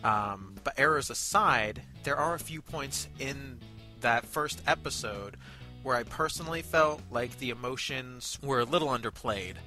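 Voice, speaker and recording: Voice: medium pitch, animated, unhurried, bright, forceful tone, soft background music. An amateur web review host with a chatty, playfully mock-formal manner, moving between casual banter and announcements.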